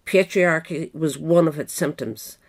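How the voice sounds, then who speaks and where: A woman's voice: low voice, measured pace. A woman reading scripted nonfiction narration as an audiobook reader. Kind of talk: scripted narration of a political history essay.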